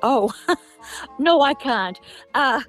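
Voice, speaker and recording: An older woman calls in by cell phone with a high, animated voice. She rambles and grumbles in a comic, casual way, with faint music in the recording. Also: drawn-out words. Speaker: regular call-in guest, the host's mother. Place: outdoors on a deck, on a cell phone. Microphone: cell phone call.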